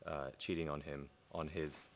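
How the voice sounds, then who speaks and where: A man's voice: a bass voice, flat delivery, normal speed, gravelly, slight background noise. A male opera singer speaking enthusiastically and plainly in a promotional interview.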